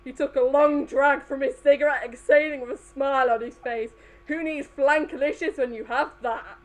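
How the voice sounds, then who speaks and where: A woman's voice: high-pitched, steady tone, normal speed. A woman reading a fan story aloud as an amateur narrator. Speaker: fan fiction reader.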